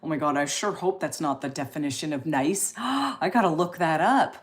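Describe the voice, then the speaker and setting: A woman, hosting a casual live stream and chatting in an encouraging, expressive way as she reads and answers comments. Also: low voice, moderate pace.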